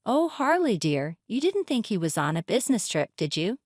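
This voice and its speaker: A woman's voice: mid-range voice, very animated, normal speed, no echo, studio quality. Smug older mother-in-law, voiced by a woman narrator. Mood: smug, condescending. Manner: condescending, dismissive. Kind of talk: dramatized story reading.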